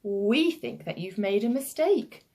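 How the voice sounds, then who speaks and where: A woman's voice: mid-range voice, animated, measured pace, mellow. A woman voicing an eager, admiring little child in a storybook, sweet and pleading. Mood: admiring, earnest.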